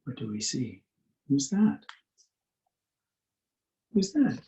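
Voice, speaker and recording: A man's voice: medium pitch, sing-song, slow, dark tone, echoey room. A man reading a picture book to young children as its author, in a singsong, inviting way with questions for listeners.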